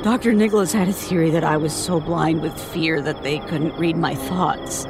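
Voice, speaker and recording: An actress playing a traumatized survivor in an audio horror drama, telling a nightmarish ordeal in halting, broken phrases. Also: female voice, low-pitched, fairly even intonation, music in the background. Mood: subdued, weary. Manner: halting, haunted, breaking off and repeating words. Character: traumatized woman survivor recounting a nightmarish attack by neighbors. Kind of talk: audio horror drama acting, testimony monologue.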